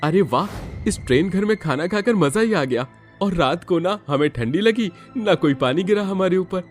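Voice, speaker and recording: A man's voice: high-pitched, animated, moderate pace, faint music behind, voice pushed up. A man voicing a poor, worried but caring young husband in dramatized storybook dialogue. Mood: relieved, pleased.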